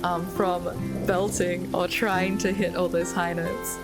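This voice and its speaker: A woman with a slightly hoarse voice, chatting casually through her gameplay with light puns and frequent ums. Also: on the low side, little pitch movement, takes it slowly. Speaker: gaming video creator. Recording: loud game music with rain sounds behind.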